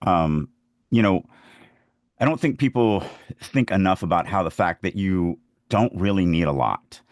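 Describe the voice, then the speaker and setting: A man's voice: low-pitched, lively intonation, unhurried, a little mellow, dry recording, clean studio sound. A man in casual conversation as a podcast co-host, speaking emphatically and repeating phrases to make a point. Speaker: writer co-hosting a writing podcast. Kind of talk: casual conversational writing discussion.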